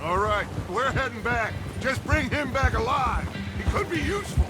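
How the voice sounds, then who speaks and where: An actor playing a proud middle-aged outlaw gang leader in a western video game, with a theatrical, speechifying manner. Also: male voice, very high-pitched, expressive, normal speed, over loud music. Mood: commanding.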